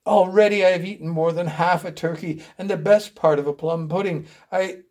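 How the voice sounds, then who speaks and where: A man's voice: high-pitched, fairly even intonation, normal speed, a little mellow, natural voice. A man playing a wretched, remorseful man with theatrical, melodramatic delivery in a story reading. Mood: anguished remorse. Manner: theatrical, anguished declaiming.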